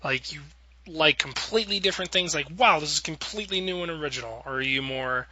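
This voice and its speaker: A man's voice: a little high, quick pace, pushed and bright, no room sound, rough recording. A man hosting a podcast in a casual, rambling style, acting out imagined quotes as he goes. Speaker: podcast host. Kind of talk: casual panel chat.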